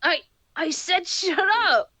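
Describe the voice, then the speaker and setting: A woman's voice: very high voice, slow pace, bright, forceful tone, no room sound, heavily acted. A woman voicing a flustered, indignant, bashful young male cartoon fox who whines and stammers in an amateur story reading. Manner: whiny, protesting, stammering.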